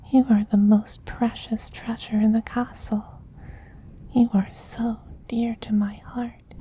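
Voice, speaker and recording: A man voicing a doting, possessive femboy vampire queen in a soft, breathy whisper, slow, tender and gently commanding, as in an ASMR roleplay. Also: high-pitched, highs cut off, heavily acted.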